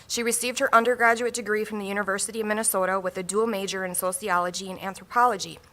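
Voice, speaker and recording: A woman acting as conference emcee, reading a speaker's biography in a steady, formal way in a large room. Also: medium pitch, fast talker.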